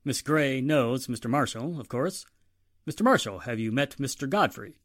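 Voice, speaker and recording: A man's voice: medium pitch, expressive, normal speed, a bit bright, no room sound. Guy doing a radio drama police inspector, earnest, really swoops around, dry close sound. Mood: urgent. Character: earnest, take-charge male police inspector. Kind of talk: 1940s radio drama acting.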